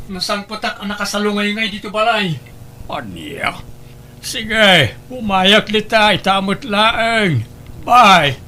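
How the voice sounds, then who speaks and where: A man with an Ilocano Filipino accent playing a gentle, sorrowful father who tries to keep peace, in radio drama style. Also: high-pitched, exaggerated voice. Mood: shocked, grieving.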